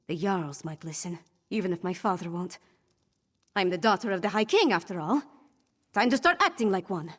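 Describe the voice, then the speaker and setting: An actress playing a young princess in a fantasy video game, grieving and unsure but resolute, with an informal, self-deprecating way of talking. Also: female voice, mid-range voice, breathy edge.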